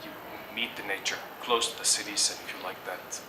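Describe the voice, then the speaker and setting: A man's voice: fairly low pitch, fairly even intonation, fairly quick. A middle-aged man from Germany answers interview questions thoughtfully, hesitating and restarting often. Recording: echoey, distant mic, noisy background.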